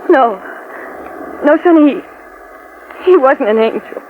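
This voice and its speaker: An actress playing a frightened, gentle young woman in peril, halting and melodramatic in 1940s radio style. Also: high voice, expressive, slight background noise. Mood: shaken, tender. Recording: tinny, narrow vintage 1940s radio recording.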